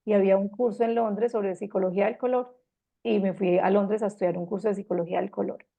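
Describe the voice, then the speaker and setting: Gravelly Medellín woman in her fifties. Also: mid-range voice, steady tone. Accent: Colombian, Medellín. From Colombia. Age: 50s. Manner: gentle, with frequent pauses and restarts. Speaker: host giving a personal talk. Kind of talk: reflective personal talk to an audience.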